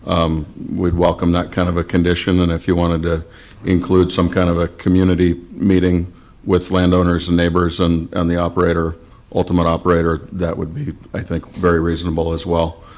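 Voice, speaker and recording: A man speaking for an applicant at a county hearing, measured and accommodating. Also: deep voice, completely flat delivery, darkish tone. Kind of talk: testimony at a public hearing. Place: county board meeting room.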